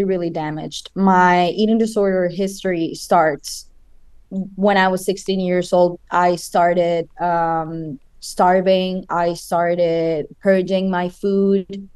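A woman in her twenties from Chile, a guest in an interview, speaking earnestly with halting restarts. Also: medium pitch, darkish tone, clean tone, no room sound. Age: 20s.

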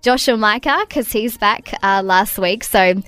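A woman's voice: mid-range voice, unhurried, a bit bright, clear, resonant tone, no echo. A woman hosting a radio interview in a bubbly, upbeat way. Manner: bubbly, upbeat, laughs easily. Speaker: radio show host.

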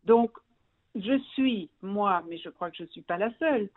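A woman's voice: medium pitch, animated, moderate pace. Middle-aged woman on a thin phone line. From Brussels, Belgium. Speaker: magazine founder, interview guest. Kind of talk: radio interview by phone.

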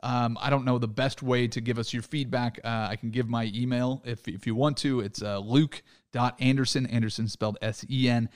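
A man's voice: mid-range voice, fairly even intonation, normal speed, hardly any pauses. Rambling male podcast host. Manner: loose, rambling, filler-heavy. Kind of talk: casual podcast chat.

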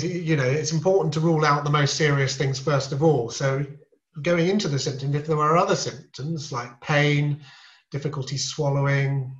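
A man explaining throat complaints to a podcast host in a measured, reassuring way, with small restarts as he talks. He sounds like a seasoned specialist. The sound is roomy and a little dull. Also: fairly high pitch. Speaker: ear, nose and throat specialist guest. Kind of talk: podcast interview, explaining medical topics.

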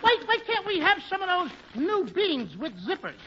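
A whiny little boy with an extremely high, swooping voice. He is defiant and full of wisecracks, in the manner of 1940s radio comedy. The old recording is muffled and very noisy. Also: sing-song, normal speed, heavily acted. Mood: whiny, wisecracking.

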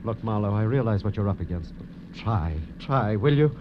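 A man's voice: lowish voice, expressive, moderate pace, close to an everyday voice. An actor playing an anxious, protective wealthy man in 1940s radio drama, earnest and urgent. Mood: pleading. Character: anxious wealthy protective husband, male blackmail victim in wheelchair. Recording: muffled old radio recording, faint noise.

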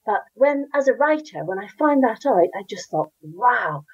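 A woman's voice: fairly high pitch, sing-song, normal speed, husky, muffled recording. Enthusiastic Northern Irish woman author. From Northern Ireland. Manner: enthusiastic, warm storytelling with laughs. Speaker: children's author. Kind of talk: interview answers.

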